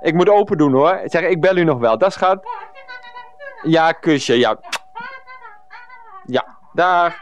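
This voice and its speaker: A man's voice: fairly high pitch, expressive, slow pace, pauses often, dull audio. An actor playing a meek, naive, easily pushed-around man in a comic radio play, hesitant and mild. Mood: polite, evasive. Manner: hesitant, mild protests, verbal tics.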